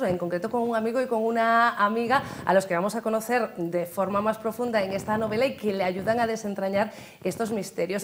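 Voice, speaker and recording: A woman hosting an interview in a TV studio, leading with lively, chatty questions. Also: mid-range voice, a little hiss or hum. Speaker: TV host.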